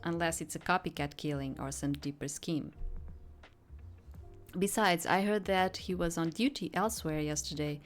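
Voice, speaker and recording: A woman streams a visual novel in a fairly low voice. She moves between reading the narration aloud and casual, chatty commentary. Soft music plays in the background. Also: normal speed. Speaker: game streamer. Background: game music.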